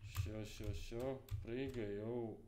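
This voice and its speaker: Man in his twenties, from Chechnya, game streamer, mutters low, unhurried pace, swears a lot. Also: fairly even intonation, noisy background. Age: 20s. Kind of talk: casual gameplay commentary.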